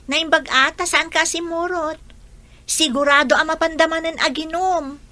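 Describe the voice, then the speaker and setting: A woman playing a sensible teenage school friend in a radio drama, giving lively advice. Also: extremely high pitch, moderate pace. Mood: advising. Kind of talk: Ilocano radio drama acting.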